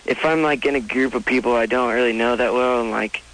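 A man's voice: medium pitch, fairly even intonation, fairly quick, a bit bright, poor audio. A young male caller on a radio show, chatting casually and hesitantly.

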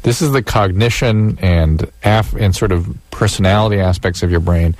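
A man with a fairly low voice gives calm, clinical explanations as the doctor on a call-in radio show. The recording is dry and close. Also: normal speed, dry recording. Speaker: physician co-host.

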